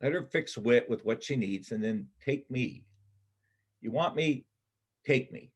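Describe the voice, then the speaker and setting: Husky, earnest doomed man played by a man. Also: medium pitch, expressive, a little slow, muffled recording. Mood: pleading, resigned. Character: self-sacrificing doomed man, earnest and wry. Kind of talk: amateur reader's theater acting.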